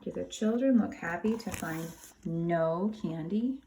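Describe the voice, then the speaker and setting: A woman reading a children's picture book aloud as a teacher, pausing to explain things and ask the children questions. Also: on the low side, warm tone, a little hiss or hum, low-quality recording. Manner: explains to young children, asks them questions. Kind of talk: animated storybook read-aloud with asides to children.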